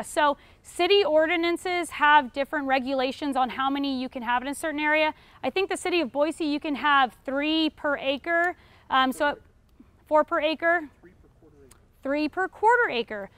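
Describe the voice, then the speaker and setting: Young American female teacher, high-pitched, informal Q&A lecture delivery, dry recording. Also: fairly bright tone, dry recording. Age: young adult. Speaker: beekeeping class instructor. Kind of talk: informal lecture answering audience questions. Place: retail store aisle in front of a seated audience.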